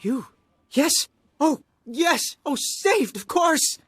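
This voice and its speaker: An actor voicing a small, fawning, fretful alien administrator in a video game, raspy and eager, in clipped broken grammar. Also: male voice, very high-pitched, sing-song, extremely slow, fairly bright tone, slight background noise, full character voice. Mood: fawning, aggrieved. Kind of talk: video game character dialogue.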